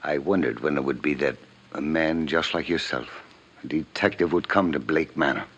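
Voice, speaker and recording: A stiff, aristocratic older father weighed down by guilt, in an old radio play. His voice is extremely deep and his diction is formal and measured. The recording is dull and muffled. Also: fairly bright tone. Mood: weary, anxious. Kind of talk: 1950s radio drama acting.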